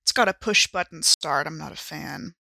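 A woman's voice: deep voice, normal speed, creaky, sharp tone, no room sound. A woman chatting casually and wryly as a player in a tabletop role-playing game.

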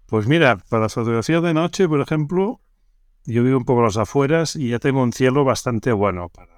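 A man's voice: mid-range voice, brisk, smooth, no room sound, clean studio sound. A man from Barcelona with a Catalan accent, chatting casually as an interview guest, often ending phrases with checking tags. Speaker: podcast guest.

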